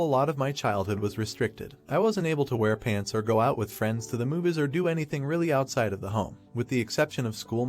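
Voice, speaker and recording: Fast-reading male story narrator. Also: medium pitch. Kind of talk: reading a reddit story aloud.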